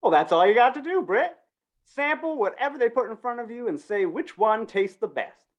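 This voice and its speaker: An actor playing a brisk, insistent small-town man organizing a fair in a radio western, folksy and persuasive. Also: medium pitch, expressive, lo-fi sound, natural voice. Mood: coaxing. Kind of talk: old-time radio western acting.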